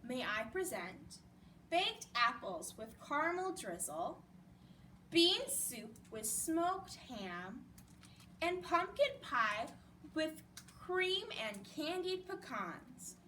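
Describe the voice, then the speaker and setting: A woman's voice: high voice, sing-song, very slow, bright, forceful tone, full character voice. A woman voicing an earnest, eager male amateur cook in a children's storybook. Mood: proud. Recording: distant mic with background noise.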